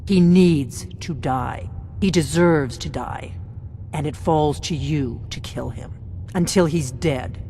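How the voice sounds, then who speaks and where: A woman's voice: low-pitched, takes it slowly, dark tone, slight background noise. A woman playing a hard, middle-aged warrior agent in a fantasy game, firm and insistent. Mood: grim, insistent. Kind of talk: fantasy video game voice acting. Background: music.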